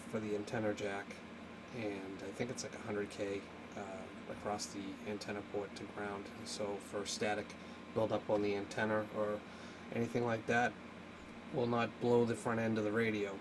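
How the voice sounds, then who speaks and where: A man giving a casual, unscripted hands-on tutorial, talking haltingly as he thinks aloud while working. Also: lowish voice, background noise. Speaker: hobbyist presenter of a radio repair video.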